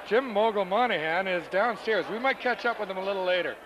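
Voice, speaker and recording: A man working as a chef, talking in a relaxed, casual way as he lists the dishes at a banquet. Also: high-pitched, fast. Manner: relaxed, listing items. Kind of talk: casual chat, describing dishes. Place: indoor banquet hall at a party. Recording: noisy camcorder audio at a party.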